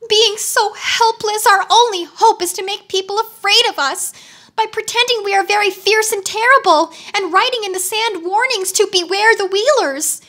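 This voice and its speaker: A woman putting on a whiny, high-voiced wheeler creature. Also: animated, moderate pace, few pauses, sharp tone, heavily acted. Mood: sobbing. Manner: whiny, tearful, pleading. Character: whining, sobbing male wheeler creature, boastful but cowardly.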